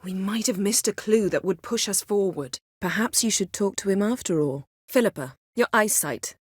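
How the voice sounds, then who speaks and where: A woman voicing a proud, sharp-tongued sorceress in a video game, speaking in a cool, measured, sardonic and commanding manner. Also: medium pitch, lively intonation, slightly rough, no room sound, clean studio sound. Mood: serious, composed.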